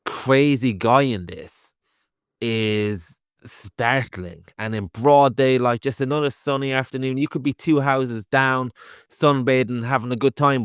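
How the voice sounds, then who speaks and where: A man's voice: mid-range voice, clean tone, no room sound, studio quality. A man with an Irish accent, a podcast co-host giving a casual, conversational film review and thinking aloud as he goes. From Ireland.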